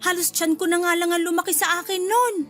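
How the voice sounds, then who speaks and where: A woman's voice: extremely high pitch, steady tone, fairly quick, fairly bright tone, soft background music. A woman playing a chatty, bluntly nosy middle-aged mother-in-law in a Filipino radio drama, with a Filipino accent. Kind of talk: Tagalog radio drama acting.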